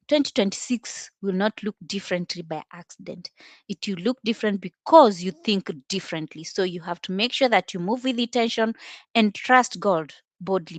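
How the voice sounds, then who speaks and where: A woman's voice: mid-range voice, dry recording. A woman giving an emphatic, exhorting motivational talk to a group. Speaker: speaker in a group online meeting.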